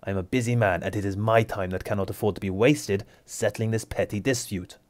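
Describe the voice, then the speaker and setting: A stern aristocratic lord speaks with cool authority and measured patience, as a man puts him on. His low voice is recorded close and dry. He turns curt and dismissive when crossed. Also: dry recording, lightly acted. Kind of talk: tabletop roleplay character voice.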